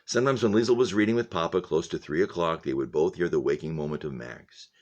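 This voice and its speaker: Very deep-voiced man reading aloud. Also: fast, a little mellow, muffled recording. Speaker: teacher reading a novel aloud to a class. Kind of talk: read-aloud narration with commentary.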